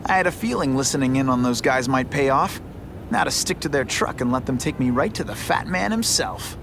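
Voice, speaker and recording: A man's voice: medium pitch, lively intonation, brisk, vocal fry, noisy background. A man playing a wisecracking, cocky young masked superhero in a video game, quippy and sarcastic. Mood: plotting. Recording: game audio with loud music behind.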